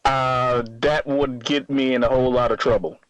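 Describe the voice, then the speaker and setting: A man in his thirties calling a radio show, answering haltingly and defensively with stammered restarts. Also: medium pitch, steady tone, clean tone, faint music behind. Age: 30s. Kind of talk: spontaneous phone-in conversation.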